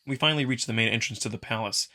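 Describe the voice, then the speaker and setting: A man's voice: medium pitch, racing, pushed and bright. A man reading first-person visual novel narration as a scripted storyteller. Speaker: narrator and voice performer.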